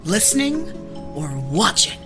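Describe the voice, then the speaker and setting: A woman's voice: medium pitch, big pitch swings, a little slow, over music. A woman playing a thoughtful seer in a fantasy role-play audio drama. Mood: intent, deliberating. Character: thoughtful female seer Gertie in fantasy adventure.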